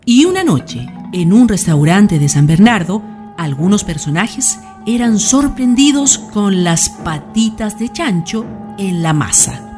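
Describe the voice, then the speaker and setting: A woman's voice: medium pitch, expressive, slow pace, darkish tone, soft background music. A woman delivering scripted dramatic narration for a radio play.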